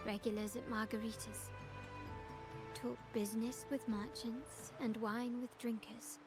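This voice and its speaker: A woman playing a composed, shrewd young noblewoman of a crime family in a video game, speaking in a measured, formal way. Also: on the high side, level tone, music in the background, lightly acted. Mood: cool, instructive.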